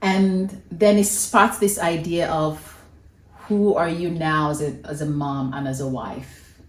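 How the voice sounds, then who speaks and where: A woman's voice: low voice, steady tone, slow. A woman hosting an interview with a friend, framing long, affirming questions. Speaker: host. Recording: echoey room from a distance.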